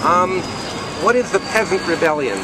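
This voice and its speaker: A man interviewing protesters on the street, asking brief, calm questions. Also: high-pitched, normal speed. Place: outdoors on a city plaza by traffic. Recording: outdoor street noise.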